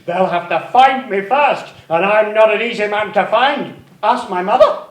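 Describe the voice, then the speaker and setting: A man's voice: high voice, normal speed, natural voice. A man playing a pompous, blustering, tactless film director in a comic radio-theatre parody, declaiming theatrically. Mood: defiant bluster. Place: arts centre stage, live performance. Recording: roomy live stage, distant mic.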